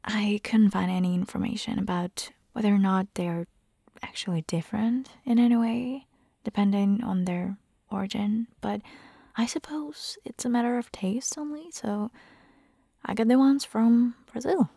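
Woman as caring vampire hunter girlfriend, breathy whisper, creaky edges, close dry sound, gentle teasing, ASMR roleplay. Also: medium pitch, no echo. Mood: explaining, gentle. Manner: slow, gentle coaxing with playful teasing.

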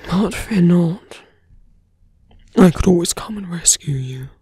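Male actor as a seductive fantasy villain, husky breathy whisper, very slow, smug and teasing, intimate ASMR roleplay, close mic. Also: high voice, expressive, warm tone, no echo, heavily acted. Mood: smug concern. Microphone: close dry microphone.

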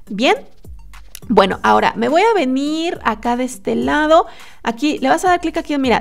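A woman in her thirties talking casually to a webcam, walking viewers through websites with lots of fillers and restarts. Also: on the high side. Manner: chatty, animated, many fillers and restarts. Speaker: online money-making vlogger. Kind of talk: casual screen-share tutorial. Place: indoors at a desk, webcam.

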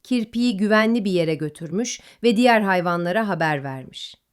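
Gentle, melodic woman storyteller. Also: mid-range voice, animated, normal speed, smooth. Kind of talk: bedtime story narration.

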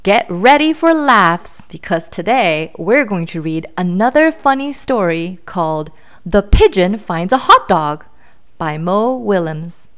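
A woman's voice: medium pitch, sing-song, a little slow, a bit bright. A woman hosting a read-aloud for young children, addressing her listeners directly and asking them questions. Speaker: children's story reader and host.

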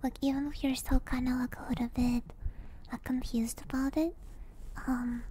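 A woman streamer whispering breathily in a soothing, gentle way, chatting casually in ASMR style close to a binaural microphone. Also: high voice, flat delivery, moderate pace, a little hiss or hum. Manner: soothing, gentle personal attention. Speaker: ASMR streamer hosting a live chat. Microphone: close binaural stereo microphone.

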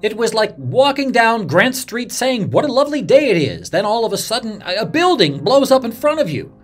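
A man's voice: very high-pitched, big pitch swings, moderate pace, faint music behind, heavily acted. A man playing an earnest radio reporter, dramatically recalling a disaster he witnessed, in a first-person story. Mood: shaken. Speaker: narrator of a radio reporter's memories.

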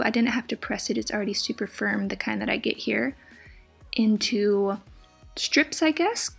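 Cooking host voice-over: young woman, breathy, a bit high, upbeat and chatty, faint music under it. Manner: upbeat, chatty, enthusiastic about food. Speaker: plant-based cooking channel host. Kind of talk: scripted recipe voice-over. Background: soft background music.

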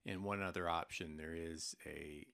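Very deep, gravelly male product presenter. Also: steady tone, bright, forceful tone. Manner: halting, informal explaining with mid-sentence pauses. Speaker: product presenter for an intercom seller. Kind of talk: unscripted product walkthrough over screen recording.

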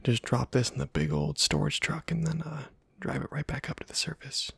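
Male, ASMR gamer, heavy vocal fry, breathy near-whisper, casual halting musing. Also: mid-range voice, sing-song, no echo. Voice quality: breathy, whispery, soft-spoken. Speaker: gaming video host. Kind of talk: ASMR game commentary, murmured to himself.

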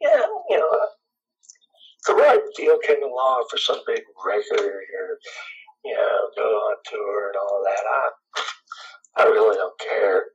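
A middle-aged man with a scratchy, raspy voice, chatting casually and rambling as an interview guest. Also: on the low side, very animated, slow, pauses often, dull audio. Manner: laid-back, rambling, chuckles often. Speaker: guitarist guest.

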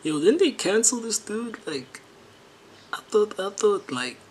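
A man's voice: high voice, very animated, unhurried, slight background noise. A young man from South Africa giving casual, slangy reaction commentary as a video creator. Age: young adult.

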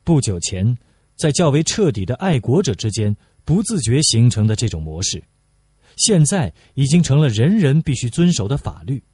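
A man's voice: medium pitch, very animated, moderate pace, a little mellow, breathy edge, dry recording. A man reading a novel aloud as a scripted audiobook narration.

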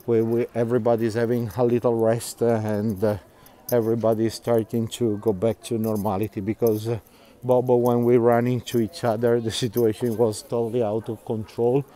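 A middle-aged man leads a live walking tour outdoors, his voice mellow and relaxed at an ordinary middle pitch. He chats loosely, reads out viewer comments, laughs often and fills gaps with "uh" as he walks, speaking into a phone camera he carries. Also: moderate pace. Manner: chatty, laughs often, many fillers and restarts. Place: outdoors, quiet canal-side streets.

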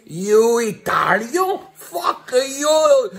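A man's voice: extremely high pitch, very animated, very slow, creaky, full character voice. A man playing a brash, crude Greek man who rants in broken English with a Greek accent. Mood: ranting. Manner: broken English, loud ranting bursts, crude. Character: brash crude middle-aged Greek man, proud and boastful. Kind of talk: comic character monologue.

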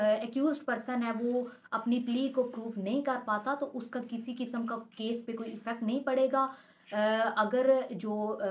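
A woman's voice: a little high, darkish tone. A young woman with a Pakistani accent explaining law in an instructional way, mixing in English terms. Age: young adult. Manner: instructional, mixes English legal terms into speech. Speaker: advocate teaching law. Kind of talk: explanatory law lecture with examples. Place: small furnished room indoors.

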